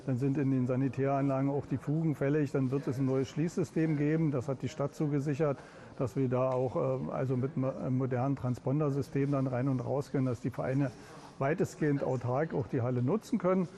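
Mellow-voiced man giving a statement. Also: medium pitch, steady tone, brisk. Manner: informal, with fillers. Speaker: interviewee. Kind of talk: spoken interview statement.

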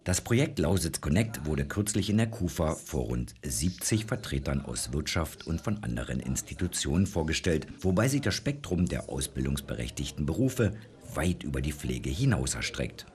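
Very deep-voiced male report narrator. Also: big pitch swings, moderate pace, a little mellow. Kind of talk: scripted news report narration.

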